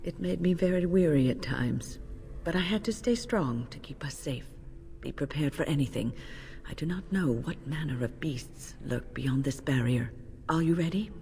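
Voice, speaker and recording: Fantasy game casting: weary, steadfast older mage, grave, formal, low-voiced, in a reverberant hall. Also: female voice, moderate pace, a little mellow. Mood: solemn warning. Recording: long hall reverb, ambient noise.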